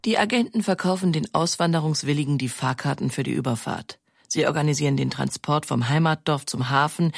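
Documentary narrator, gravelly mid voice, scripted read, super dry sound. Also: mid-range voice, no echo.

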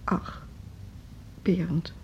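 Radio play casting: sympathetic female school head, gentle and polite, dark warm voice, lo-fi sound. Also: very slow, whispered. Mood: shocked, dismayed. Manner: gentle, concerned, courteous. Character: Gerda, sympathetic adult woman running a music school. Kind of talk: radio drama acting. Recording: dull, lo-fi radio play recording.

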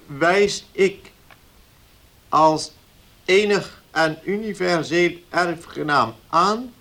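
An officious notary in a radio drama. His voice sits very high for a man, and he speaks formally, with stern, pompous indignation. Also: extremely slow, fairly bright tone, full character voice. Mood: solemn, formal. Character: indignant, officious male village notary reading a will. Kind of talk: Dutch radio play acting.

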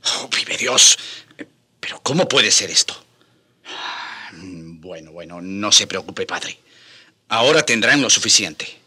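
A man's voice: fairly low pitch, expressive, takes it slowly, pauses often, bright, forceful tone, husky, full character voice. A man playing an elderly, devout and stubborn friar bishop in a radio drama, with an emotional, pleading manner. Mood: indignant, concerned. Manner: emotional, hesitant repetitions, pleading.

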